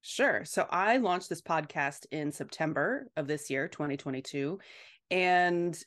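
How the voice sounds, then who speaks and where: A woman answering as a podcast guest in casual, reflective chat, halting and then quickening. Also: sits low, a bit bright.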